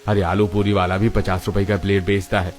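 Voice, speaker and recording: A man's voice: deep voice, flat delivery, fairly quick, lightly acted. A man voicing a kindly, chatty older railway station master in a cartoon story, with faint music behind. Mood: approving, chatty.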